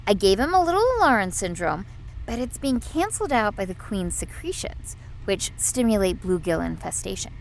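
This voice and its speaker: Lively, clinical female doctor, played by a woman. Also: medium pitch, moderate pace, vocal fry, slight background noise, rough recording. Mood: eager, proud. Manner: matter-of-fact, clinical, quirky. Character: brisk, eccentric female doctor, proud of her science. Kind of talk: audio drama acting.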